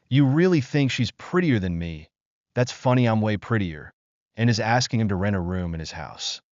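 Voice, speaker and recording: A man narrating a first-person relationship story from an online post in a steady, even reading voice. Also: lowish voice, no echo. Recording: dry, slightly dull lo-fi sound.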